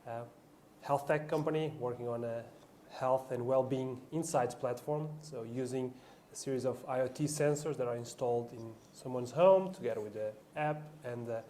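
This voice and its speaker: A man with a mellow, dark-toned voice introduces himself as a panelist. He speaks carefully, pausing and searching for words, with faint room ambience behind him. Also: medium pitch, a little hiss or hum. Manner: careful, halting, with pauses. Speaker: panelist, biomedical engineer.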